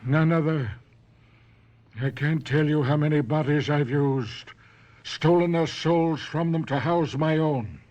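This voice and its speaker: An actor playing a vengeful, taunting body-stealing professor living in a ventriloquist's dummy, in a radio drama. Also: male voice, a little high, a little mellow, muffled recording, close to an everyday voice. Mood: gloating, cold. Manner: taunting, theatrical, slow and deliberate.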